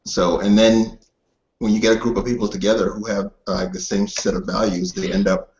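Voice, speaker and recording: A man who is a guest on an online hangout, explaining his ideas in a steady way. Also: on the low side, fairly quick, a little hiss or hum. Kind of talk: casual video hangout chat. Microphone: video call. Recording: lo-fi video call audio.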